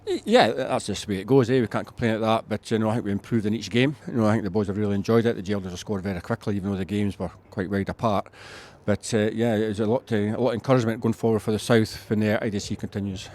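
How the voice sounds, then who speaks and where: Quick, low-voiced man, rugby coach. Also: a little mellow. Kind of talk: casual pre-match interview.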